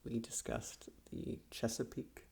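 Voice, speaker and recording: A performer playing a calm, softly menacing male psychiatrist in an ASMR roleplay, speaking in a hushed, deliberate, soothing way with long pauses. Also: close to an everyday voice. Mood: probing, measured. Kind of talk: ASMR roleplay, slow hushed dramatic delivery.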